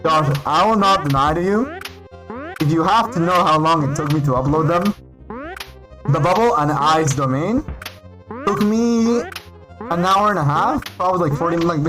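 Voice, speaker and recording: A man from Peru whose first language is Spanish, chatting casually and excitably while streaming a game. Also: on the high side. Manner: rambling, excitable, reads out names with pauses. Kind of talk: casual livestream chat while gaming. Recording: faint background music.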